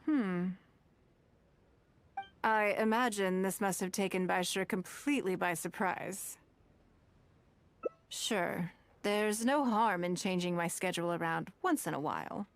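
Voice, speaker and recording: A woman voicing a poised, shrewd, wealthy businesswoman in a video game, speaking in a calm, measured, elegant way. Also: fairly low pitch, no echo. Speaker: game character.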